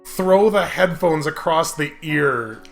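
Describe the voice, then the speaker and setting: A man hosting a casual, comedic let's play, talking in an excitable, jokey and animated way. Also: on the high side, takes it slowly. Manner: laughs often while talking. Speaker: host. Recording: music behind the voice. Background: video game music.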